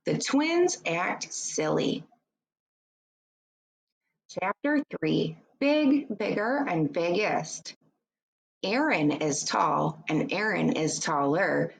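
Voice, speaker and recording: Slow-paced woman reading aloud to pupils. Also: lowish voice, sing-song, vocal fry, low-quality recording. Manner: deliberate, instructive, addressing young pupils. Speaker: teacher reading a book aloud. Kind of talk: children's educational read-aloud with short explanations.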